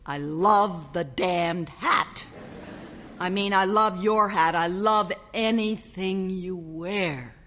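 A woman's voice: on the low side, slow pace, bright, forceful tone. A woman voicing a young newlywed husband who soothes and coaxes, a little exasperated, during a short story reading. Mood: coaxing. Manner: coaxing, soothing.

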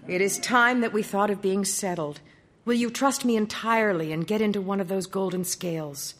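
A woman's voice: mid-range voice, moderate pace, close to an everyday voice. Woman voicing an earnest young prince. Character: young male prince, gentle, earnest, devoted.

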